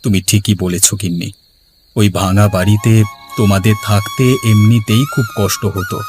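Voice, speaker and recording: A man playing an earnest, gentle village father and husband in a cartoon, speaking in dramatic storytelling fashion. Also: fairly low pitch, normal speed, natural voice. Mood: explaining. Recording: music behind the voice.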